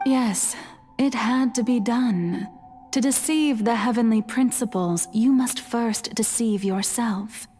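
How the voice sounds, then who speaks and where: Serene, slow-speaking playful goddess. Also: female voice, a little high, dark tone. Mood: solemn, knowing. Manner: theatrical, teasing, measured, formal diction. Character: serene, playful female god, centuries old, quietly resolute. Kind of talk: dramatic video game voice acting. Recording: music behind the voice. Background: music.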